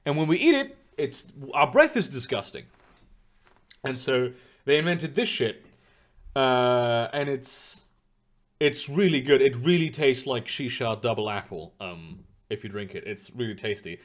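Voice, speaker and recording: A man's voice: medium pitch, expressive, unhurried. A young man streaming live, chatting loosely with his viewers in a rambling way, full of fillers and casual swearing. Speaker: streamer reading chat.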